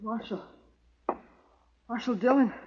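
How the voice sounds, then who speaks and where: An actor playing a wounded, terrified homesteader boy, gasping out halting, broken phrases in a 1950s radio drama. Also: extremely high pitch, very noisy. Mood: gasping distress. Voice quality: breathy, faint. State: gasping, badly hurt. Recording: dull old 1950s radio recording.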